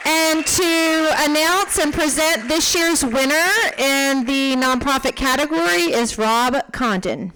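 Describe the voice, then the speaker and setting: A woman hosts an awards ceremony and reads the finalists' names and job titles in a clear announcing manner. Her voice is high. Crowd chatter and steady noise from the event hall sit behind her. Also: slow, hardly any pauses. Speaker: awards emcee.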